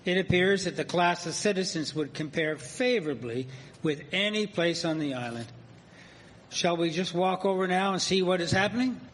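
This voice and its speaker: Man playing a genteel high-voiced Victorian husband. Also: normal speed, a bit bright, low-quality recording. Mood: polite, reassuring. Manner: courteous, formal. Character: polite, genteel Victorian husband visiting town, reassuring. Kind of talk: live radio drama acting. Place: performing arts centre stage. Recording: live stage, slight ambient noise.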